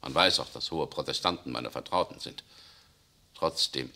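A man acting in a 1960s radio play as a tense German SS officer who secretly resists, speaking urgently, swinging between outbursts and hushed confession. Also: extremely low pitch, normal speed, heavily acted. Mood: confiding. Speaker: radio drama actor.

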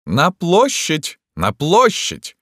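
A man voicing a lecherous, pompous emperor in an audiobook, cooing and grandiose, with drawn-out exclamations. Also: medium pitch, sing-song, no room sound, clean studio sound, pitched above the performer's own voice. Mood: exultant. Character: theatrical lecherous emperor, wheedling, grandiose, gallant and menacing.

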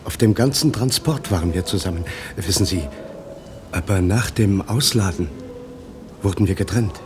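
A man playing an anxious elderly doctor in a German radio play, halting and insistent in his questioning. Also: lowish voice, lightly acted. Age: older. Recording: noisy radio play, ambience behind.

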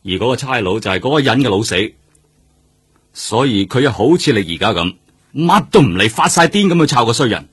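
An actor with a Hong Kong accent playing a seasoned, world-weary detective in a radio drama, speaking emphatically. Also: male voice, mid-range voice, big pitch swings, fairly quick, a bit bright, breathy edge, heavily acted. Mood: intense, agitated. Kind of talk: Cantonese radio drama acting.

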